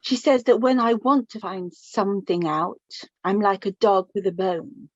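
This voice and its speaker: A woman playing a middle-aged mother of the bride in a radio play, fond, gently prying and rambling. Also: mid-range voice, normal speed, close to an everyday voice. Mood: apologetic. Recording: echoey room, dull lo-fi sound.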